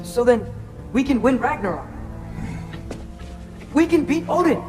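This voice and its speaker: High youthful male voice, earnest, insistent, eager teenage hero, music behind, game cutscene. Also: animated, normal speed. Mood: excited, insistent. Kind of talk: video game cutscene acting.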